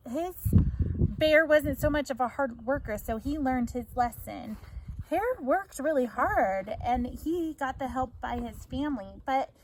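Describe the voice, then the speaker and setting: Woman teacher, fairly high voice, slow read-aloud delivery, explanatory and questioning, slight background noise. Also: low-quality recording. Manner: explanatory, prompting listeners with questions. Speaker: teacher reading aloud to pupils.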